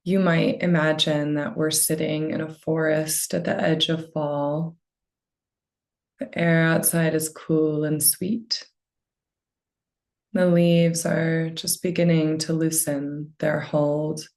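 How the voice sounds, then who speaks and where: Low, slow, creaky-voiced woman guiding meditation. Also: flat delivery. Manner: gentle, unhurried phrasing with long pauses. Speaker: meditation guide. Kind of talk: guided meditation, slow soothing narration.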